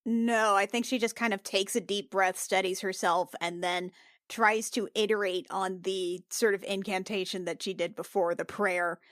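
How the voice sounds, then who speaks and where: A woman's voice: mid-range voice, steady tone, normal speed, fairly bright tone, dry recording. A woman with a mid-range voice in a very dry recording plays a tabletop roleplaying game. She moves casually between in-character talk and describing her character's actions.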